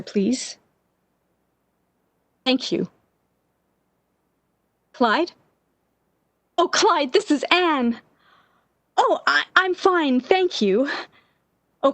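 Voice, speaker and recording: An actress playing an anxious, lovesick young woman in 1940s-style radio theater, pleading and halting in a melodramatic way. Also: high-pitched, no room sound. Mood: nervous, polite. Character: Anne, lovesick, anxious, desperate young woman clinging to love.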